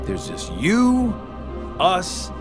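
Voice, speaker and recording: A man's voice: high voice, wildly swooping pitch, drawn-out words, heavily acted. An actor playing a sly, mocking adult male villain in a game cutscene, taunting and sarcastic in a drawling way. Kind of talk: video game cutscene acting. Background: game soundtrack music.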